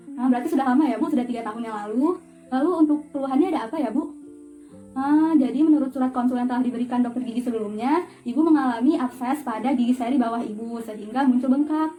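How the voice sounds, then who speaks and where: A woman's voice: high voice, dark tone, rough recording. A young woman giving an instructional demonstration in an orderly, polite, step-by-step manner. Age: young adult. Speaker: dental student demonstrating a radiography procedure.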